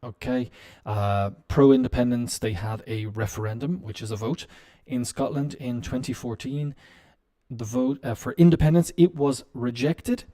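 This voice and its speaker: A man teaching business English on a live stream, explaining slowly with many "um"s and "uh"s. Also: medium pitch, warm tone. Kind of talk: live-stream language lesson.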